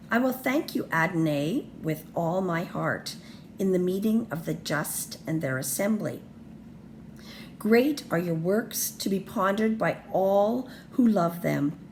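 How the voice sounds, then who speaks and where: Slow-paced woman reading scripture. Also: lowish voice, creaky, darkish tone, slight background noise. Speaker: scripture reader.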